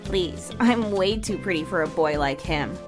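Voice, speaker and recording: A prickly teenage girl, acted by a woman narrator, whines and grumbles her way through an audio story. She is easily embarrassed, and soft music sits behind her. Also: fairly low pitch, lively intonation, a little slow. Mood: indignant. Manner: whiny, grumbling.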